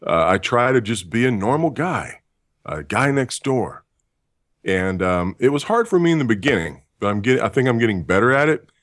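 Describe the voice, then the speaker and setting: A man who works as a voice actor, with a deep voice and a dry, self-deprecating sense of humor, answering interview questions. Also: sing-song, normal speed, a bit bright, no echo.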